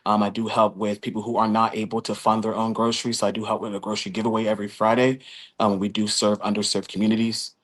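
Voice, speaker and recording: A man from Los Angeles answering dating questions on a live show, earnest and full of little pauses. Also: on the low side, little pitch movement. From Los Angeles, California. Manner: earnest, many um fillers. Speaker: contestant. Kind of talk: casual live chat.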